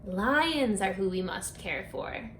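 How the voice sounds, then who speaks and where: A woman reading a rhyming picture book aloud for children, speaking expressively and deliberately, straight to her young listeners. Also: mid-range voice, animated, a bit bright. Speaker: children's book author and read-aloud presenter. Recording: echoey room with faint background noise.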